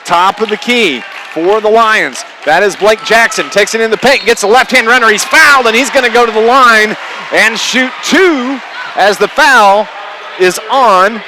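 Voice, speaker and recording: A man's voice: high-pitched, moderate pace. A man doing live radio play-by-play of a basketball game, in clipped running phrases with his voice often raised. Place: packed high school gymnasium. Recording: loud gym crowd noise, live radio broadcast. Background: crowd, bouncing basketballs.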